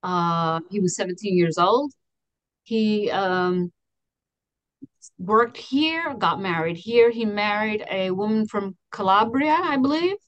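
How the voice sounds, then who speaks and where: Woman in her fifties from Queens, chatty and animated on a video call, dry sound. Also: mid-range voice, takes it slowly, no echo, low-quality recording. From Astoria, Queens, New York. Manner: animated storytelling, many restarts and "you know". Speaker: guest. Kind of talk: casual conversation. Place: home office with framed certificates.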